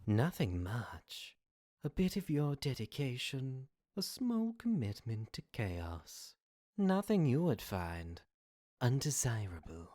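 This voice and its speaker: A man's voice: medium pitch, very animated, slow, darkish tone, no echo. A man playing a suave, scheming demon who tempts with courteous, insinuating, formal speech in an audio drama. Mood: smooth temptation. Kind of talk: podcast audio drama acting. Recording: dry amateur home recording.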